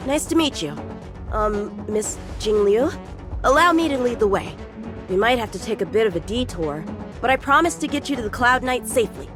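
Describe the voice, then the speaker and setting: An eager young swordsman voiced by a woman for a video game dub. The boyish voice sits fairly high and swings with lively inflection. He is earnest, polite and sure of himself, with music playing behind him. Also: normal speed, close to an everyday voice. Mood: earnest, courteous. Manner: earnest, animated. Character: eager, confident teenage boy swordsman, polite and talkative.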